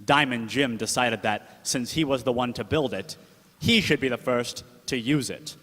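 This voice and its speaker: A man's voice: medium pitch, moderate pace, fairly bright tone, close to an everyday voice. A man playing a gangster's-tale narrator in the style of old 1930s radio drama. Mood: storytelling. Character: Mordecai, gangster-tale narrator, loyal uncle turned second in command. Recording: echoey hall, long reverb.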